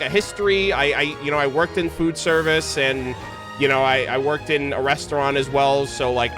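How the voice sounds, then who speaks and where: Higher-pitched male streamer, gushing and casual. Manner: enthusiastic, gushing. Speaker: game streamer. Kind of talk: casual livestream commentary. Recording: game music behind.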